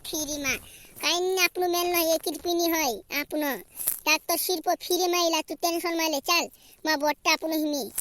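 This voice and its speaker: Anxious village relative for comedy cartoon: extremely high, piercing voice, fussy and repetitive. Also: male voice, bright, forceful tone, heavily acted. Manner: repetitive, complaining. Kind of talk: amateur regional comedy cartoon dubbing.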